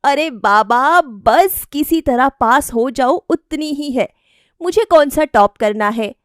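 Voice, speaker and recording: A woman voicing a lively, stubborn young college woman in a cartoon story, expressive and insistent. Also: high-pitched, slow, no room sound, studio quality, voice pushed up. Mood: breezy.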